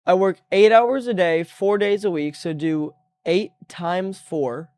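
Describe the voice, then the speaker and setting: Laid-back young man, smooth and close. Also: sits high, slow pace, no echo. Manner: relaxed, easygoing, trails off mid-sentence. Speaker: streamer. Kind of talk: casual chat.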